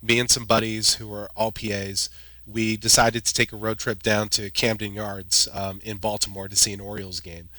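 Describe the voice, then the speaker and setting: A man's voice: lowish voice, moderate pace, no echo, rough recording. A young man, a podcast guest, telling personal stories in a vivid play-by-play way. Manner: vivid, excited play-by-play retelling with laughs. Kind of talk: animated personal storytelling.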